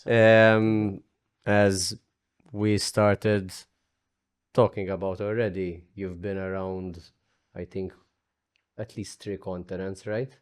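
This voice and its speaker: A man's voice: low-pitched, level tone, slow, clean tone. A man with a Maltese accent co-hosting a casual podcast, joking and blunt, switching between English and Maltese. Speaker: podcast co-host. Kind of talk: casual chat.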